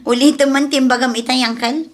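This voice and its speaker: A woman's voice: sits high, steady tone, normal speed, natural voice. A woman playing a nosy, gossipy matchmaker who teases her elders in a radio comedy drama. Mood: teasing, prying. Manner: gossipy, teasing.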